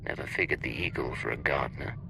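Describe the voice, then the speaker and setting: A deep, gravelly, cool secret agent. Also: male voice, fast, slight background noise, heavily acted, rough recording. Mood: cool, dry. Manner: terse, dry, deadpan. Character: cool, dry male imperial secret agent. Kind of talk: video game voice acting.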